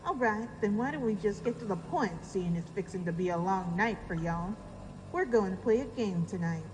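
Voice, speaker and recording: A woman's voice: mid-range voice, animated, normal speed, darkish tone, a little hiss or hum, lightly acted, low-quality recording. A woman voicing a sinister undead farm mare in a horror audio story, with a Southern American accent and taunting, folksy menace. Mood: mocking menace.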